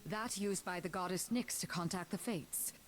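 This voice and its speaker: An actress playing a stern, imperious ancient goddess in a dramatic video game, speaking formally, coldly and portentously. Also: female voice, lowish voice, moderate pace, faint music behind, natural voice. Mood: stern questioning.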